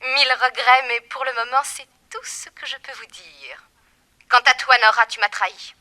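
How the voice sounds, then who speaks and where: A woman's voice: sits high, fairly even intonation, moderate pace, pushed and bright. An actress playing a cruel, mocking female criminal mastermind in a 1960s French radio crime serial, with a taunting, sinister manner. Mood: mocking, vengeful.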